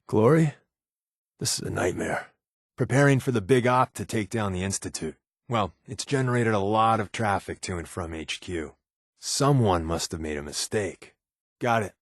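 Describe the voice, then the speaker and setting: An actor playing a sly, irreverent covert resistance agent in a video game, with an American accent and a wry, casual, sardonic way of talking. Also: male voice, lowish voice, no echo, clean studio sound. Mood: tense. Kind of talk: video game character dialogue, conversational.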